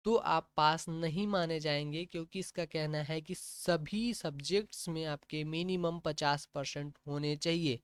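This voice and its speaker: A man's voice: on the high side, clear, resonant tone, dry recording. A man coaching exam candidates, talking through a recruitment notice he shows on screen and checking in often with "okay". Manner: plain, repetitive explaining with frequent "ठीक है" checks. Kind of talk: explaining a job notification on screen.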